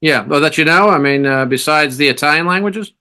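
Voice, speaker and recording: A man hosting an interview, eager and quick to affirm his guest. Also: fairly high pitch, sharp tone, smooth. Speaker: interviewer, host. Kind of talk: casual interview chat.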